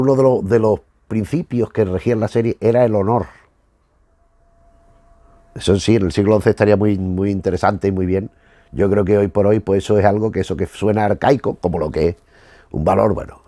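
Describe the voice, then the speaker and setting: A man's voice: lowish voice, gravelly, dark tone, dry recording, studio quality. A middle-aged man with a Spanish accent from Spain giving casual commentary in a digressive way, often repeating and rephrasing himself as he goes. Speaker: host of a retro television review.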